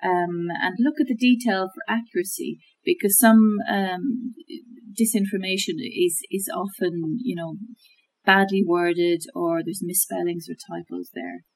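Husky-voiced woman explaining fact-checking. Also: lowish voice, steady tone, poor audio. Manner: thoughtful, measured, with frequent fillers and restarts. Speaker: guest expert on media literacy. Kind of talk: conversational explanation.

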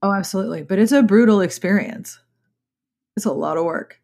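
A woman's voice: medium pitch, fairly quick, vocal fry, a little mellow. A woman hosting a podcast interview, chatty and asking open questions. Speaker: podcast host. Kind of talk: casual interview chat.

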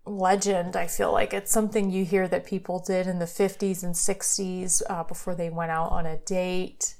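A woman's voice: fairly low pitch, level tone, moderate pace, gravelly, a little mellow. A woman co-hosting a podcast as a cosmetic scientist, chatting casually and making joking asides. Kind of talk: casual chat.

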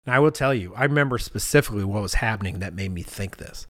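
A man's voice: lowish voice, animated, fast talker, fairly bright tone, no room sound, clean studio sound. A middle-aged man co-hosting a podcast, telling stories in a casual, conversational way and acting out what people said. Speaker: podcast co-host.

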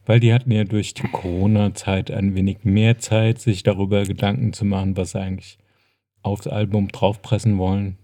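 A man's voice: fairly low pitch, flat delivery, moderate pace, warm tone, no echo, clean studio sound. A man chatting casually as a podcast co-host.

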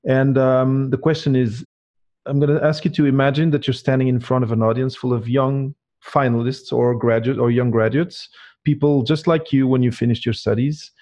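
A man interviewing a guest, halting and repeating words as he asks his questions. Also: mid-range voice, steady tone, warm tone.

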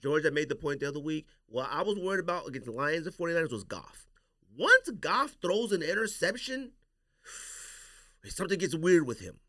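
A man's voice: on the high side, sing-song, moderate pace, bright, forceful tone, dry recording, studio quality. An American man hosting a casual sports podcast, giving emphatic, opinionated takes and repeating his points for emphasis.

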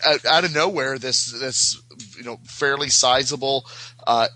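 A man chatting casually as a podcast guest, often halting and repeating words as he searches for them. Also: medium pitch, sharp tone. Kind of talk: casual chat.

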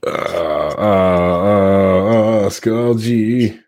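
A man's voice: lowish voice, steady tone, very slow, darkish tone. A man co-hosting a casual podcast, talking sarcastically and acting out mock quotes with some swearing. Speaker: podcast co-host.

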